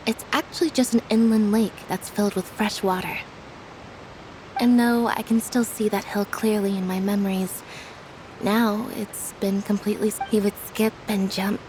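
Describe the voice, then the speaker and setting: A reserved young woman in a video game, voiced by a woman, brooding and melancholy. Her voice is mid-pitched and subdued. A faint hiss sits behind it. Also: darkish tone, natural voice, rough recording.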